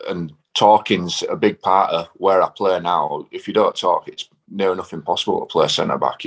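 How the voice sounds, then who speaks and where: Deep-voiced young Northern English man. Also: a bit bright, husky. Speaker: professional footballer, centre back, guest. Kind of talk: casual interview answers.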